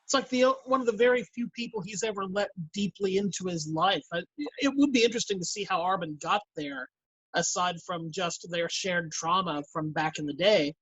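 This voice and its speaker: A woman chatting casually on a voice actors' panel in an animated way. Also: lowish voice, normal speed, breathy edge, no echo, low-quality recording.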